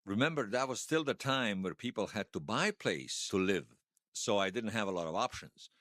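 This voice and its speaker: A man acting the part of a wistful, wry old hero in his seventies, reminiscing haltingly in a radio drama interview. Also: fairly low pitch, animated, fairly bright tone, no room sound, clean studio sound. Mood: nostalgic, wry. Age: 70s. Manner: halting, reflective, self-deprecating. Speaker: interview guest.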